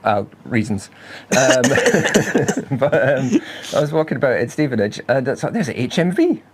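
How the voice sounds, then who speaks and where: A man's voice: medium pitch, very animated, unhurried, slight background noise. A man hosting a music podcast as producer and engineer, chatting casually and laughing often. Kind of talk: casual chat. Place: music studio building.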